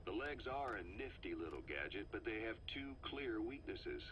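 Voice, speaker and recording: An actor playing a middle-aged intelligence operative in a game's cassette tape, briefing in a measured, matter-of-fact way. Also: male voice, lowish voice, very animated, a bit bright, a little hiss or hum. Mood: dry, measured.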